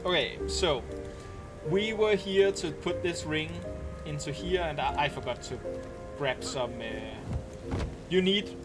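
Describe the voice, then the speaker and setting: Brief: Danish-accented male streamer with a slightly high voice, casual rambling talk over game music. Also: slow, long pauses. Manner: relaxed, rambling explanations with frequent ums and restarts. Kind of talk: casual live-stream commentary while playing.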